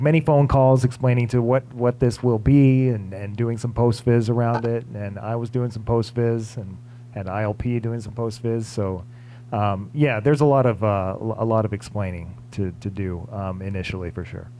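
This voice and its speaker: A man's voice: lowish voice, steady tone, moderate pace, warm tone. A man in his forties, a visual effects supervisor, talking on a theater stage into a handheld mic, hesitant with many fillers and restarts. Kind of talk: panel conversation on stage. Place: theater stage before an audience. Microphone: handheld microphone.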